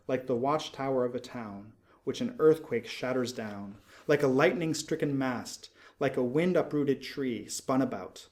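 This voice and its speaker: A man reading narrative poetry aloud as a dramatic narrator, expressively, in measured phrases with deliberate pauses. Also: medium pitch, a little mellow.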